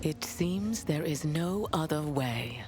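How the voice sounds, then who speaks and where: An actress playing a solemn, weary coven witch in a fantasy game, speaking formally and with measured gravity. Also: low-pitched, lively intonation, a little mellow, full character voice. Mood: grave. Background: game music.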